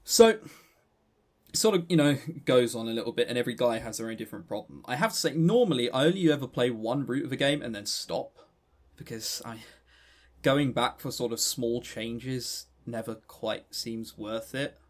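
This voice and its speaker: A man's voice: medium pitch, lively intonation, moderate pace. Rambling Englishman on a podcast. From England. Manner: rambling, stammering with repeated words. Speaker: podcast co-host. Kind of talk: casual discussion and review.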